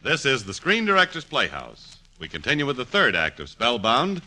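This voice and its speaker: A man's voice: medium pitch, huge pitch swings, moderate pace, over loud music. A man announcing in 1940s radio style, with a polished, emphatic scripted sales delivery.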